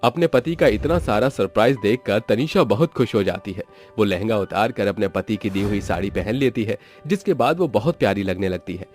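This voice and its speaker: A man's voice: lowish voice, brisk, few pauses, a little mellow, soft background music. A man narrating a scripted story as the storyteller.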